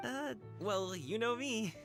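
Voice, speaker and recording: A woman's voice: on the high side, animated, slow. A woman playing an earnest, friendly young male guard in a video game, with music playing behind. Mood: friendly, sheepish. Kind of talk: video game dialogue acting.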